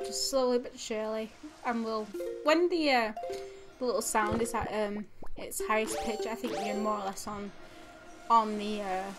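A young woman with a stuffy nose from a cold, giving rambling casual commentary over a video game, full of fillers and restarts. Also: fairly high pitch. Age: young adult. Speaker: let's play gamer. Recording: audible background noise.

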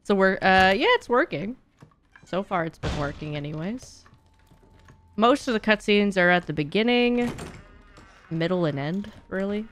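Young woman game streamer, mid-pitched and very varied, blunt sweary casual commentary, faint background noise. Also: animated, measured pace, pauses often. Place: small indoor streaming room at home.

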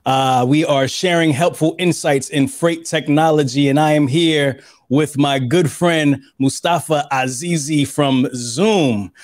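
A man's voice: fairly high pitch, a little slow, few pauses, dry recording, clean studio sound. A man in his thirties hosting an interview over a video call, upbeat and prone to repeating himself. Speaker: podcast host. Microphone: webcam on a video call.

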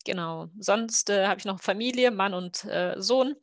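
Woman chatting as rpg player, normal pitch, really dry mic. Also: moderate pace, no room sound. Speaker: role-playing game player. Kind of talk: casual introduction of a game character. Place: indoor room at home, online video call.

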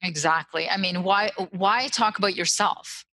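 A woman's voice: mid-range voice, measured pace, a little hiss or hum. A woman in her forties, a guest on a video-call interview, speaking bluntly and animatedly through a headset mic in a small room. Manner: blunt, animated, self-interrupting, laughs often.

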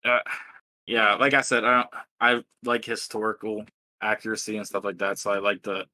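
A blunt, profane man in his early twenties talks casually on a podcast. His voice is lowish but bright and forceful, recorded dry and close. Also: flat delivery, normal speed, no echo. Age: 20s. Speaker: podcast host. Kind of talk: casual chat.

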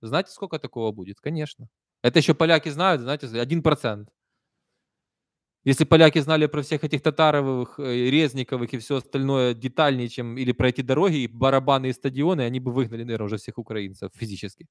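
A man's voice: medium pitch, fast talker, no room sound. Ukrainian man with Kyiv accent, dry close mic. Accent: Kyiv Russian. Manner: conversational, emphatic, soft-spoken asides. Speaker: streamer reading viewer comments. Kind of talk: live stream chat. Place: small room indoors. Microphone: desk stand mic close up.

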